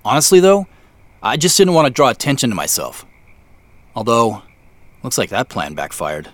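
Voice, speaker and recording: An uneasy, polite man who hedges and apologizes as if caught snooping. His mid-pitched voice moves expressively up and down and is recorded dry and close. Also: huge pitch swings, moderate pace, fairly bright tone, no echo. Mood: uneasy, defensive. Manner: hesitant, apologetic. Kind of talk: audio drama acting.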